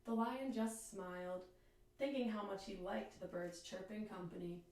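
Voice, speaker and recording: A woman's voice: medium pitch, fairly even intonation, moderate pace, noisy background. Woman reading a storybook in an echoey room. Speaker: teacher reading aloud to children. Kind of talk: children's storybook read-aloud. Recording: echoey room, distant microphone.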